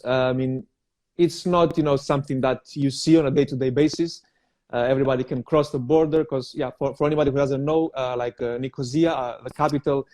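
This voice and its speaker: A young man who grew up in Cyprus and speaks Greek as his first language talks as a guest in a relaxed interview. His voice is mellow and dark. He speaks hesitantly, filling pauses with frequent uh's. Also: mid-range voice. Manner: hesitant, many "uh" fillers and restarts. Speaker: guest, vegan personal trainer. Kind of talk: casual interview.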